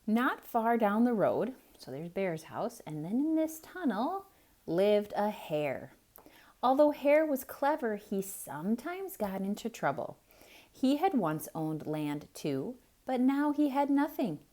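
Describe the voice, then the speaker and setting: A woman reading a picture book aloud to children in an expressive style, pausing to ask the listener questions. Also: on the high side. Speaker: children's storyteller. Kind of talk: theatrical read-aloud for kids.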